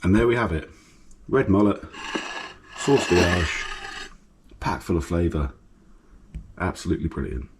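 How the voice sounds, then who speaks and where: A man's voice: low voice, measured pace, long pauses. Bunged-up man cooking in an echoey kitchen. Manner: relaxed, enthusiastic about food, talks while cooking. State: blocked nose, bunged up. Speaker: fishing and cooking vlogger. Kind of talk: casual cooking commentary. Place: home kitchen with sizzling pan. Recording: echoey kitchen with frying noise.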